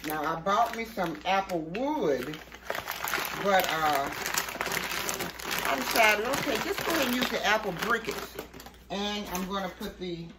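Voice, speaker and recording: A woman's voice: mid-range voice, expressive, a little slow, pauses often. A middle-aged woman hosting a casual home cooking demonstration, talking in a folksy way and checking in with the viewer. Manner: folksy, often ending statements with "okay?". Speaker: home cooking show host. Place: home kitchen, later outdoors at a grill at night. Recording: noisy home video, clear background noise.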